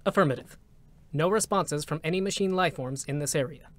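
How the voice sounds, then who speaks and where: A man voicing an impassive robotic support drone in a dramatic reading, giving clipped, formal, deadpan announcements. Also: fairly high pitch, fairly quick, lightly acted. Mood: procedural.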